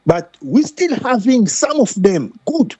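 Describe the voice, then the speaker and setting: High-pitched, animated Congolese man. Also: breathy edge. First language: French. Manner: halting, repetitive, emphatic non-native English. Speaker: guest caller. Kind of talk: animated football talk.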